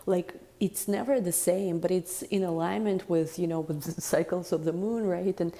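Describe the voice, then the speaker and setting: A woman giving a casual tarot reading in a deep, chesty voice, rambling and reflective with many fillers. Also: steady tone, moderate pace, vocal fry, warm tone. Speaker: tarot reader.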